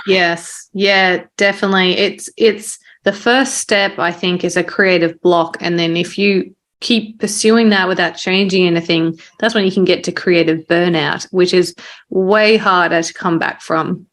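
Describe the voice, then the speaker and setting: A woman hosting a podcast in a casual, chatty conversational style, quick to laugh. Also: on the low side, fairly even intonation, fairly bright tone. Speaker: podcast host; marketing professional.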